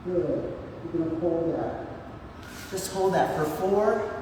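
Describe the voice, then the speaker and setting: A woman in her sixties leads a workout in a low, husky voice, cheering the class on as she counts reps aloud. She sounds far from the mic in a large, echoing hall. Steady room noise hums behind her. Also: slow. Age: 60s. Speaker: fitness instructor. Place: large gym.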